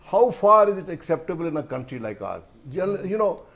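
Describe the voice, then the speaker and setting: A middle-aged man with an Indian accent speaking as a journalist on a discussion panel, deliberate and emphatic, often repeating phrases. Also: sits high, very animated, gravelly, fairly bright tone.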